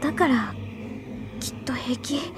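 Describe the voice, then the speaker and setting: A woman's voice: high voice, slow, noisy background. A woman playing a timid, flustered, polite schoolgirl who stammers hesitantly in an anime audio drama. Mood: anxious, hushed. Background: loud music.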